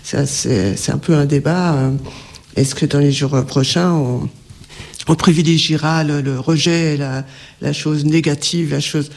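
A man's voice: fairly high pitch, fairly even intonation, measured pace, gravelly. A man speaking as a panel guest, earnest and searching for his words. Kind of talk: discussion.

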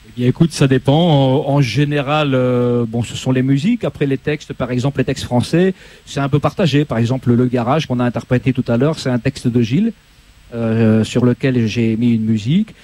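Older man, 60s, singer-guitarist guest, relaxed, mellow tone. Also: mid-range voice, moderate pace. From Belgium, raised in Corsica. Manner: relaxed, plain-spoken answers. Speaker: guest musician.

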